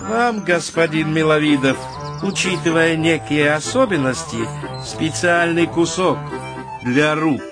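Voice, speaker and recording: An eccentric, high-pitched suitor. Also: male voice. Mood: solemn, generous. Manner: halting, repeating words, emphatic. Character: eccentric, excitable suitor of southern passion. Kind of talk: comic radio play acting. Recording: music behind the voice.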